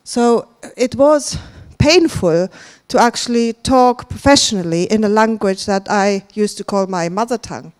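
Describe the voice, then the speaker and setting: A woman's voice: medium pitch, unhurried, few pauses, a little mellow, smooth. A woman from Germany hosting a conference, chatting with the audience and laughing often. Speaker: conference host. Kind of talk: conference stage address. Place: large conference hall.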